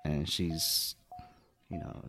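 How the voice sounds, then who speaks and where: A man from Boston, a music producer guest, talking casually in a podcast chat with many fillers and pauses. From Boston, USA. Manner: hesitant, filler-heavy, modest.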